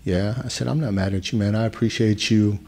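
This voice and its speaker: A man in his forties who talks loudly and tells stories on a casual podcast, calling people bro and dude. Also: fairly low pitch, fairly quick. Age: 40s. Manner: loud, animated storyteller, calls people bro and dude. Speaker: podcast host.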